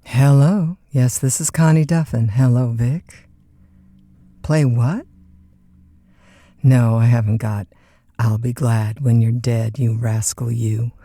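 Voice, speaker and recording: A lonesome late-night radio disc jockey in an audio drama. The voice is mellow and a little husky, unhurried and close in a dry studio. The talk is folksy and polite, full of small hesitations, as if chatting on the phone. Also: female voice, very deep voice, steady tone, no echo, heavily acted. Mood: friendly, obliging. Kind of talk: naturalistic audio drama acting, one side of phone calls.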